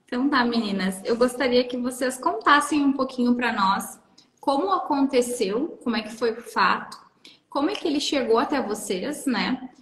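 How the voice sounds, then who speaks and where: A woman's voice: on the high side, lively intonation, normal speed, fairly bright tone, a little hiss or hum. Woman host in an echoey room. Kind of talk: casual live-stream interview.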